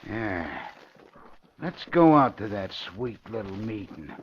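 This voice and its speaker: An actor playing a vengeful, bullying gang boss in an old radio drama, talking tough, slangy and accusatory. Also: male voice, lowish voice, big pitch swings, slow pace, slightly rough, exaggerated voice. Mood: coldly furious. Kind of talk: old-time radio gangster acting. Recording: dull, hissy vintage radio recording.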